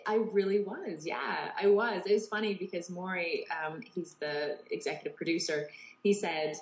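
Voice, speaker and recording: A woman's voice: lowish voice, normal speed. A Canadian woman with a slight English tinge to her accent, chatting freely as an interview guest with lots of filler words. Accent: Canadian with English traces. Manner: chatty, many "you know" and "like" fillers. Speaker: interview guest, poker TV presenter. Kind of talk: casual interview chat. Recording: echoey room with faint noise.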